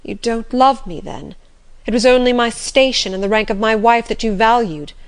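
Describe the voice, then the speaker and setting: Woman voicing a brooding, domineering gentleman. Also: mid-range voice, normal speed, natural voice. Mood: wounded, bitter. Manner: impassioned, imperious, urgent. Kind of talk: audiobook character reading of classic novel.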